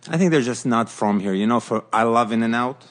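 A man's voice: medium pitch, fairly quick. A man from southern Africa, raised in Israel, chatting as a pitmaster guest on a podcast, telling his stories with animation and frequent laughs. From born in southern Africa, raised in Israel. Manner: animated storyteller, laughs often. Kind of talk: casual podcast chat.

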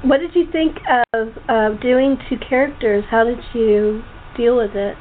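A woman hosting a casual interview, speaking haltingly, often breaking off and repeating words. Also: on the high side. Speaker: host of a talk show. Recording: muffled tinny phone line with faint noise.

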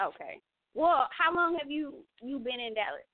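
A woman's voice: sits high, animated, normal speed, dry recording. A woman hosting a radio talk show, chatting casually in slang and thinking aloud with lots of fillers. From United States. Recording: tinny phone-line sound.

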